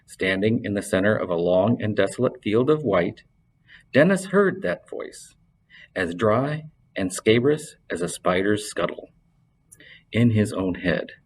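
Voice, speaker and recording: A man's voice: lowish voice, animated, unhurried, low-quality recording. A man presenting and reading a fantasy story aloud as scripted narration. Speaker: library reader and presenter.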